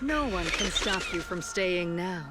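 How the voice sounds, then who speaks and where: A woman playing a bitter, vengeful colonel in a video game, accusing and dramatic, with loud music over her. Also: fairly low pitch, lively intonation, a little slow, heavy background noise.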